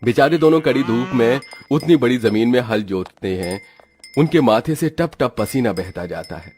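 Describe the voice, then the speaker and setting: A man's voice: medium pitch, lively intonation, moderate pace, a little mellow, faint music behind. A man narrating a scripted moral story for a cartoon.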